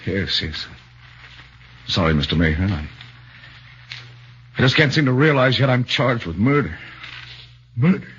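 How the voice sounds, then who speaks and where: A man's voice: mid-range voice, animated, a little slow, long pauses, a bit bright, a little hiss or hum. An actor playing an earnest young man in his thirties accused of murder, who speaks anxiously and stammers, in a 1940s radio drama. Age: 30s. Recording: muffled 1940s radio broadcast.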